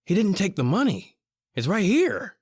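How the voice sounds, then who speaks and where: A man's voice: sits high, sing-song, normal speed, a little mellow, slightly rough, exaggerated voice. A man acting a desperate young criminal who tells his own story in a horror radio play. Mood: alarmed.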